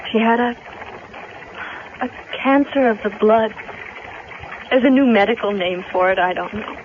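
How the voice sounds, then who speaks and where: Actress for radio-play heroine: young woman, troubled, blunt, guarded; phone-thin sound with clear hiss. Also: a little high, unhurried, close to an everyday voice. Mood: upset. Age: young adult. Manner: curt, guarded, questioning. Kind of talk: 1970s radio drama acting and narration. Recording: tinny, noisy vintage radio recording.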